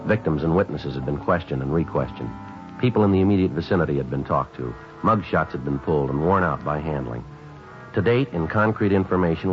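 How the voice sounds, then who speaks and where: A man acting a stoic police detective sergeant in a 1950s radio drama, with an American accent and a clipped, deadpan, businesslike delivery. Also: a bass voice. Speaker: lead actor and narrator. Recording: muffled 1950s radio recording.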